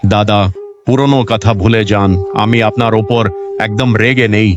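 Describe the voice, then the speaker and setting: A man's voice: fairly low pitch, fairly even intonation, moderate pace, lightly acted. A man playing a humble, forgiving younger brother in a cartoon moral story, speaking earnestly and caringly. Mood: reassuring. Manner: earnest, reassuring. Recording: dull lo-fi sound, music behind.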